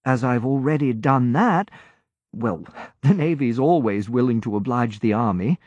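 A man's voice: medium pitch, lively intonation, moderate pace, darkish tone, no echo, natural voice. A man reading an audiobook and voicing a cheerful, eager young male naval lieutenant who chats in a confiding way. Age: late teens. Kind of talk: audiobook character voice. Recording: dull, muffled audiobook recording.

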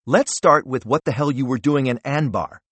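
A man's voice: medium pitch, sing-song, moderate pace, dry recording, voice pushed up, natural voice. A man voicing an arrogant, bullying middle-aged senator who barks and interrupts. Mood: angry. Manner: barking, condescending, interrupting.

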